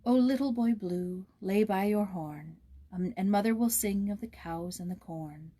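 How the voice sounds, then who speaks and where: A woman's voice: mid-range voice, measured pace, dark tone, husky. A woman voicing a tender young mother in a storybook, calling to her little son in a loving sing-song. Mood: soothing lullaby.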